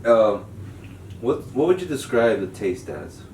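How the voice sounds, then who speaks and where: A man's voice: medium pitch, big pitch swings, measured pace, a little mellow. A man co-hosting a podcast in casual chat, rambling and joking and laughing easily. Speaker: podcast co-host. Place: home studio room. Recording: echoey room, mic far off, background noise.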